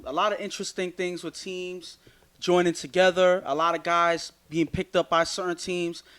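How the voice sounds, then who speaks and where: A man's voice: high-pitched, little pitch movement, normal speed, sharp tone, smooth. Earnest New man analyzing football. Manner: earnest, repeats words while building a point. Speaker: co-host, sports analyst. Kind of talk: casual sports analysis.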